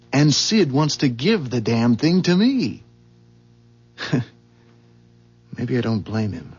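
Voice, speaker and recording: Rattled, suspicious husband for vintage radio drama: husky, halting, emphatic, widely swinging pitch, dull tape sound. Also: male voice, a little mellow. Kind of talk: 1970s radio drama acting. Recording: dull, muffled 1970s radio recording.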